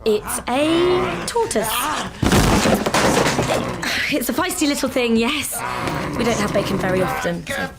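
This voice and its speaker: A woman playing a flustered young daughter in a radio drama, placating everyone with halting, nervously polite excuses. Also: fairly high pitch, expressive, loud music behind the voice. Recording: noisy track with clicks.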